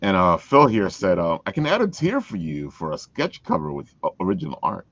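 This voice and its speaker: A man's voice: low-pitched, huge pitch swings, a little fast, creaky, a bit bright, dry recording. A man hosting a casual chat show, talking in an encouraging manner. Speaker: show host. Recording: dry, dull lo-fi call audio.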